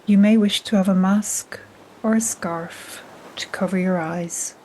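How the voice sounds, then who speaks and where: Slow, soft-spoken woman guiding relaxation. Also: medium pitch. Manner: soft, breathy, half-whispered, soothing. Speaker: yoga nidra guide. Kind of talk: guided relaxation meditation. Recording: steady soft noise like ocean waves. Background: gentle ocean waves.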